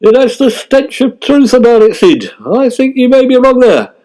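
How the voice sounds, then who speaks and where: A man acts out a pompous, self-deluded ageing British actor for a radio comedy sketch. The voice sits very high and has a soft, mellow edge, forever sounding wounded and indignant. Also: big pitch swings, normal speed. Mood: conspiratorial. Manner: aggrieved, pompous, emphatic.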